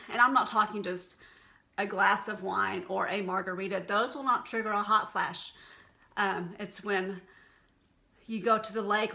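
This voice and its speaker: A woman's voice: medium pitch, gravelly, bright, forceful tone. A middle-aged woman with a Texan accent, talking candidly and casually to camera as a wellness blogger. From Texas. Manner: chatty, candid and folksy. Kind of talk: unscripted casual monologue to camera.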